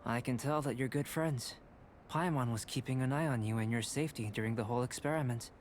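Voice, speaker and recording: A man voicing a calm young alchemist in a video game, composed and softly formal. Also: medium pitch, fast, slight background noise, natural voice. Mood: teasing. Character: calm young male alchemist, polite and curious.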